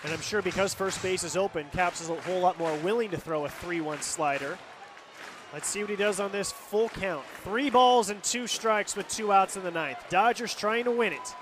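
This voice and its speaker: A man's voice: high-pitched, moderate pace. An American man calling live baseball on radio as play-by-play announcer, describing the action as it happens. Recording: loud stadium crowd noise behind. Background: ballpark crowd and outdoor stadium ambience.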